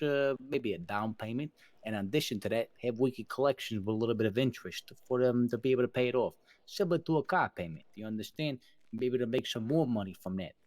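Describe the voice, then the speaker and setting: A business-minded gangster from a crime roleplay game voiced by a man. He speaks at a middling pitch in careful, wordy, hedged phrases, with no room sound around the voice. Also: darkish tone, no echo, close to an everyday voice. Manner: deliberate, hedging, wordy business talk. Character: measured, business-minded mobster advising the boss.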